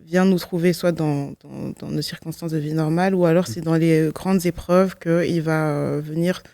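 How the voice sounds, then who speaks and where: A woman's voice: deep voice, fairly even intonation, normal speed, gravelly, dark tone, dry recording, clean studio sound. A woman, giving a personal testimony in a reflective, hesitant way, often correcting herself. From Belgium. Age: 20s. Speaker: guest, law student giving testimony.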